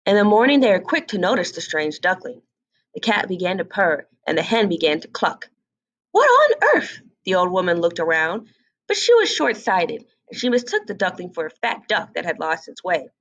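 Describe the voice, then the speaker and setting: A woman reading a fairy tale aloud as an expressive storybook narrator. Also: on the low side, a little fast, highs cut off.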